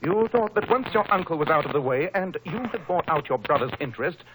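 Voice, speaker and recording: A man's voice: a little high, animated, fairly quick, natural voice. A man playing a shrewd, self-assured detective with precise, authoritative diction, in a 1940s radio mystery style. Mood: triumphant, explanatory. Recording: noisy old 1940s radio recording.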